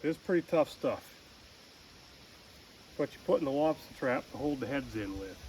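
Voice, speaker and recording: A man's voice: medium pitch, animated, moderate pace, a little mellow, low-quality recording. Casual man talking outdoors, faint cricket noise. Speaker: amateur radio hobbyist hosting a homestead vlog. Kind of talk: casual chat and narrated how-to while working. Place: outdoors at a rural camp in woods.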